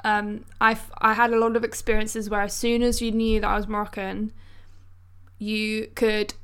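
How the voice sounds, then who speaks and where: A woman's voice: mid-range voice, level tone, normal speed, a bit bright, clear, resonant tone. A woman co-hosting a podcast, talking casually and reflectively in informal slang. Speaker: podcast co-host. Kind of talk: casual conversational discussion.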